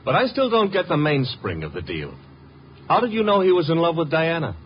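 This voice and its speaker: An actor playing a streetwise detective's sidekick, wry, breezy and slangy, in a 1950s radio drama. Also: male voice, a little high, sing-song, brisk. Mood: wry, puzzled. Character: wisecracking, streetwise male detective's legman, loyal sidekick. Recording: dull, hissy 1950s radio recording.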